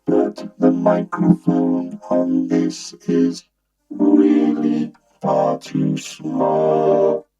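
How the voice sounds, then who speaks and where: A man's voice: very slow, pauses often, loud music behind the voice. A man commenting on gear through a vocoder that makes his voice a robotic synth tone. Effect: vocoder, pitchless robotic synth voice. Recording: muffled vocoded sound under loud synth.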